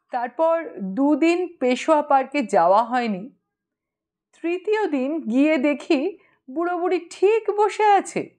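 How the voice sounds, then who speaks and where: A woman's voice: fairly high pitch, a little mellow. A woman narrating a humorous short story as an audiobook reader.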